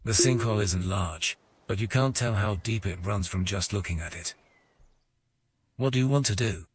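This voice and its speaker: A man giving scripted narration for a fantasy audio game in a measured storytelling manner. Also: lowish voice, slightly rough. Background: music.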